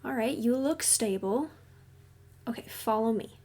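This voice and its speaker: A woman playing a caring, reassuring counselor at a secret base in an audio roleplay, speaking gently and explaining things soothingly. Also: fairly high pitch, unhurried, a little hiss or hum. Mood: calm, explanatory. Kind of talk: audio roleplay addressed to the listener.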